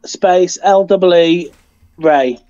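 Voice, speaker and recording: A man's voice: sits high, slow, mellow, clean tone, no echo, muffled recording. A man with a Birmingham accent on a call, stammering through restarts and tossing in dry jokey asides. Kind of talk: casual group chat.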